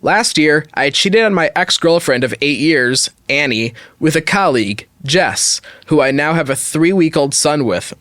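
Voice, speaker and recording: A man's voice: on the high side, lively intonation, a little slow, few pauses, a bit bright, no echo, studio quality. A man hosting a podcast, reading an online story aloud in a casual way with wry, jokey asides. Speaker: podcast host.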